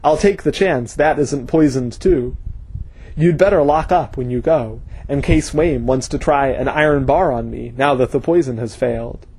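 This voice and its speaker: A man voicing a grim, intense male scholar who speaks with a brooding edge, his voice husky. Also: medium pitch, moderate pace, a little mellow, low-quality recording.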